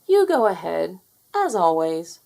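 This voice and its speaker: Woman reader doing a rooster prince, young, male, clever, patient, matter-of-fact, lively pitch. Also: measured pace, creaky, exaggerated voice. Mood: calm.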